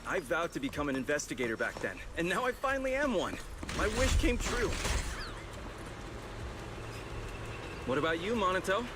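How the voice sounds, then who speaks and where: A man voicing an affable, composed young investigator in a video game, with an easygoing, smooth-talking manner. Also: fairly high pitch, sing-song, fast, noisy background. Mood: proud. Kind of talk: English video game voice acting.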